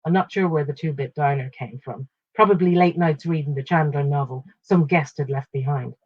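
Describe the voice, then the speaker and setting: A woman reading a literary short story aloud in a measured, expressive way, in short phrases. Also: deep voice, slightly rough, no echo, dull audio.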